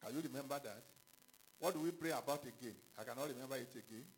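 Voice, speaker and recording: A man preaching a sermon, halting and repetitive, with questions to the congregation. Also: medium pitch, slight background noise, rough recording. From Ghana. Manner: halting, repeats himself, calls out questions to the congregation. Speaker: pastor.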